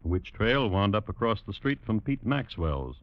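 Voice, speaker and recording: A man's voice: lowish voice, big pitch swings, measured pace, no echo. A man with an American accent delivering wry, folksy scripted narration for an old-time radio western. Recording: muffled old radio recording.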